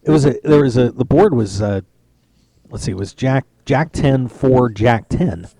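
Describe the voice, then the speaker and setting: A man's voice: medium pitch, normal speed, mellow, no room sound. A man hosting a poker radio show, chatting casually and joking from a lounge at a poker tournament. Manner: chatty, joking, rambling with restarts. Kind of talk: casual live-stream chat.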